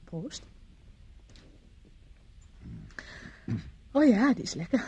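A woman's voice: a little high, animated, normal speed, mellow, muffled recording, slight background noise, close to an everyday voice. An actress from the Netherlands playing a charming, teasing young society woman in a radio drama. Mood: coy, airy. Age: young adult. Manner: teasing, polite, airy.

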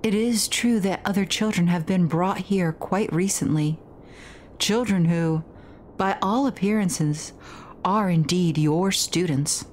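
Woman voicing a slow, solemn ghostly spirit. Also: on the low side, a little mellow, slight background noise. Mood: grave warning. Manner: measured, formal, portentous. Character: solemn, cryptic ghostly spirit warning of danger. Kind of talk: reading horror game dialogue aloud.

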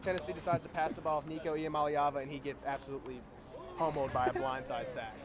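A young man co-hosting a postgame sports recap, outdoors in a big stadium at night on a lapel mic, briskly leading the talk and asking questions. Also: on the high side, little pitch movement. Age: young adult. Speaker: co-host and sports editor. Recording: stadium crowd noise behind.

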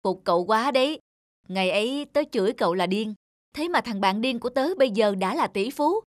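A woman's voice: fairly high pitch, animated, brisk, dry recording, studio quality, natural voice. A woman playing a jovial, effusive young man, an old friend, in a cartoon. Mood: admiring. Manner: effusive, chummy. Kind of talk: cartoon dub acting.

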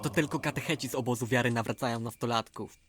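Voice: mid-range voice, quick pace, over music, natural voice. An adult actor playing a cynical, sarcastic, bossy boy camper in a dubbed cartoon. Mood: dismissive. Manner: sarcastic, dismissive. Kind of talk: animated cartoon dub acting.

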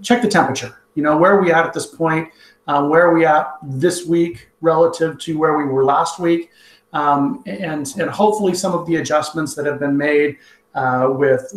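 A middle-aged man in his forties speaking as a school principal at an online town hall, talking conversationally with frequent ums and restarts over a laptop webcam at home. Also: a little high. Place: home room with wall clock. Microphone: laptop webcam on video call. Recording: echoey room on video call.

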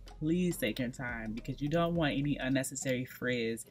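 A woman in her thirties with a low, deep-set voice talks straight to camera in a small bright room, coaching viewers through her hair routine. She leans on emphatic repeats and friendly pleas, with faint music under her voice. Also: moderate pace. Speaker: natural hair vlogger. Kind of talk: casual hair tutorial talk.

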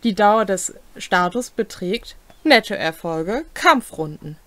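Slow-reading young woman. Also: medium pitch, expressive, fairly bright tone, dry recording. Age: young adult. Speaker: co-host explaining tabletop game rules. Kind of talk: reading rules aloud with brief comments. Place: small home room, indoors.

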